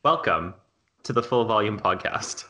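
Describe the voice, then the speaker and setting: Man in his late twenties, podcast co-host, lowish bright voice, lively pitch, casual. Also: normal speed. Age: 20s. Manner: bright, expressive, laughs easily. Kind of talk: casual chat.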